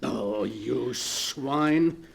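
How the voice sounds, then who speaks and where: A man's voice: medium pitch, very slow, gravelly, breathy edge, heavily acted. An actor playing an indignant, blustering man in 1940s radio drama style.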